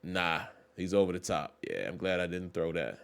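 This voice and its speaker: Low-ish guy streaming a football game, thinking out loud, repeats himself, loose casual talk. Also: lively intonation, normal speed, gravelly. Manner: thinks aloud, repeats phrases, coaches himself through plays. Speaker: gaming streamer. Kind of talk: casual gameplay commentary.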